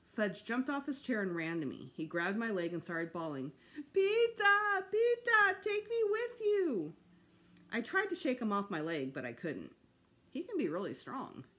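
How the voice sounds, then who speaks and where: A middle-aged woman reading a children's novel aloud in an expressive storytime style. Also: fairly low pitch. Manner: expressive, acts out dialogue. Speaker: storytime reader. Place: small home room, seated indoors.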